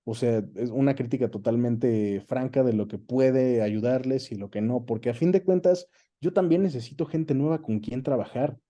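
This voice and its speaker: A Mexican man with a soft, mellow timbre and an average pitch speaks as an interview guest. He tells anecdotes casually and expressively, acting out bits of dialogue as he goes. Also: normal speed, dull audio. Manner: animated anecdote-telling, acts out quoted dialogue. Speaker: guest, voice actor and dubbing director.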